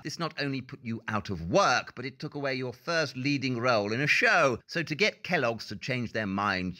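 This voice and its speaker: A theatrical, shrewd detective, very animated. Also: male voice, mid-range voice. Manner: theatrical, deliberate, precise diction. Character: shrewd, self-assured male detective. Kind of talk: audio play acting.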